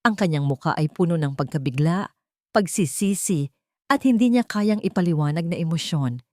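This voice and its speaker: A woman narrates a scripted love drama in the first person. Her voice sits a little low, in a close, dry recording with dramatic storytelling delivery. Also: animated, normal speed, a little mellow, no room sound.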